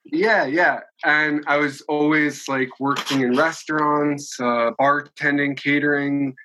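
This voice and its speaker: A man's voice: fairly high pitch, steady tone, a little slow, bright, forceful tone, a little hiss or hum, low-quality recording. A man in his late twenties, a podcast guest, talking in a chatty, rambling way with many restarts and fillers. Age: 20s. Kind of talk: casual conversational interview.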